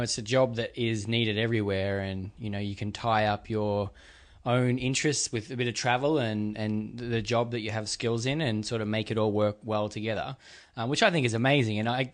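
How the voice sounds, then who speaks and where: Podcast host, mid-pitched man. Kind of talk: casual interview chat.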